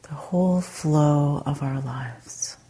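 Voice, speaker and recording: A woman meditation teacher leading a guided meditation in a soft, breathy, gentle way with long pauses. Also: deep voice.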